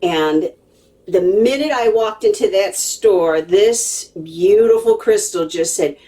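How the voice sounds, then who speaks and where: A woman's voice: mid-range voice, slow pace, mellow, distant mic. A woman telling a story casually to viewers in a chatty, emphatic, digressive way, often checking in with her listeners. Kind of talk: casual, animated storytelling to camera.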